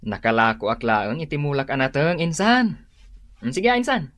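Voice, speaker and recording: An actor with an Ilocano Filipino accent playing a friendly, obliging single farmer in a radio drama. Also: male voice, on the high side, sing-song, normal speed, fairly bright tone, no room sound, clean studio sound. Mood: easygoing.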